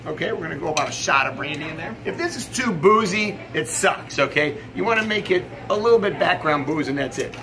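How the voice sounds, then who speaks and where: A man's voice: fairly high pitch, lively intonation, brisk, hardly any pauses. A middle-aged man giving a casual cooking demonstration, chatty and emphatic, often checking in with the viewer. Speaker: chef presenting a recipe video. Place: indoor commercial kitchen or sandwich shop. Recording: clear background noise in working kitchen.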